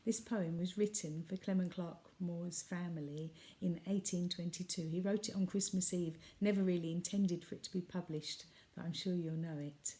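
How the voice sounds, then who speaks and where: A woman reading a children's poem aloud as a storyteller, with expressive, dramatic emphasis. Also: fairly low pitch, normal speed, hardly any pauses.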